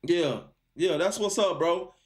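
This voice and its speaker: Male live-stream host, high register, casual and encouraging, heavy slang. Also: measured pace. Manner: encouraging, slangy, repeats phrases for emphasis. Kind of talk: casual chat with a caller.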